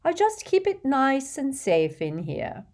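A woman's voice: high-pitched, huge pitch swings, slow, a little mellow, pitched above the performer's own voice, heavily acted. A woman voicing a doting, gushing wealthy old woman who shows off her treasures in a fawning, breathless way, dropping now and then to coy whispers.

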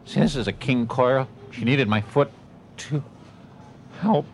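An actor playing an obliging, folksy shopper husband in a radio ad. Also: male voice, medium pitch, unhurried, slight background noise, close to an everyday voice. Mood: obliging, chatty. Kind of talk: acted radio advertisement.

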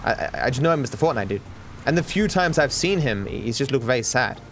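A man's voice: mid-range voice, animated, fast, soft background music. A tired man from Australia with a cultivated Australian accent, musing as he answers viewer questions in a casual ramble, trailing off with fillers and restarts.

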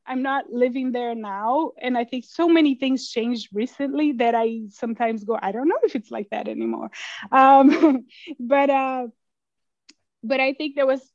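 A middle-aged woman from Brazil, a writer answering interview questions in a thoughtful, halting way, restarting phrases and laughing softly. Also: high voice, no echo, lo-fi sound. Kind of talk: casual interview conversation.

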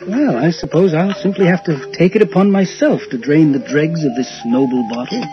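An actor playing a playful, sceptical young husband studying law, teasing and mock-grand, in a radio drama. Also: male voice, a little high, moderate pace, over music, natural voice. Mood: relaxed, amused. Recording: dull lo-fi old radio broadcast.

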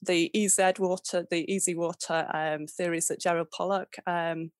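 A woman's voice: on the low side, fairly even intonation, moderate pace, dry recording, clean studio sound. A woman guest on an interview, talking in a chatty, rambling way with many restarts. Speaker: guest homeopath. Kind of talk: conversational interview.

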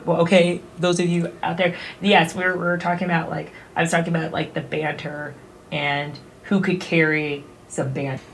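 A woman's voice: low voice, little pitch movement, moderate pace, a little hiss or hum. A woman co-hosting a podcast in casual, playful chat, laughing often and acting out bits of mock dialogue. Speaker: podcast co-host.

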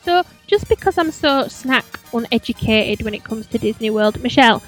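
Woman co-host, blunt, joking, high voice. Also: expressive, moderate pace, soft background music. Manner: blunt, joking, asks lots of questions. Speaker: podcast co-host. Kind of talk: casual chat.

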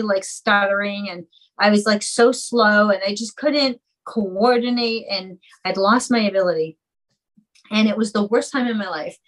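A woman talks openly and earnestly in an interview, her mid-range voice carrying long, heartfelt stretches without pause. She is a mother and singer, sharing her story as a guest. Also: mid-range voice, poor audio. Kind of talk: personal interview conversation.

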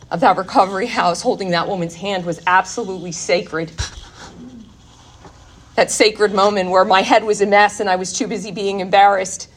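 A woman speaking candidly and emphatically at a recovery workshop, giving a personal testimony talk to an audience. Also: mid-range voice.

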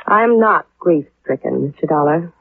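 An actress playing a cool, guarded young widow in a 1950s radio drama, with a self-possessed, understated delivery. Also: female voice, low voice, expressive, measured pace, gravelly, a little hiss or hum, heavily acted. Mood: cool, challenging. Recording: tinny, phone-like 1950s radio recording.

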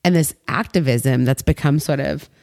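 A woman's voice: extremely low pitch, moderate pace, darkish tone, no room sound, studio quality. A young adult woman hosting a casual interview, chatty and enthusiastic, with lots of fillers. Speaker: host.

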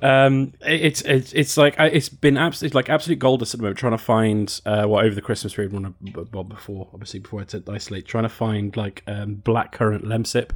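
A man's voice: on the low side, little pitch movement, fast, few pauses. A man hosting a podcast, chatty and upbeat as he steers the conversation. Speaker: podcast host. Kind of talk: casual chat.

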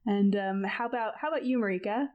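A woman's voice: mid-range voice, quick pace, narrow, tinny audio. Chatty woman hosting a podcast. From California. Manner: chatty, laughs often. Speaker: podcast host. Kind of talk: casual podcast chat.

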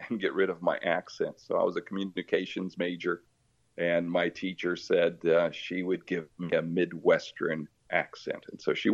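Man in his 50s from Brazil, native Portuguese speaker, friendly and rambling, very deep gravelly voice, dull lo-fi call audio. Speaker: interview guest, missionary. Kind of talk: casual interview talk.

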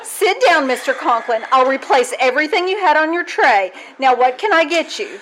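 A woman playing a wry, quick-witted schoolteacher in an old-time radio comedy, with a dry, wisecracking manner. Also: sits high, very animated, a bit bright, poor audio. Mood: flustered, apologetic. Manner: dry, wisecracking, apologetic to her boss. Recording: live stage recording, background noise.

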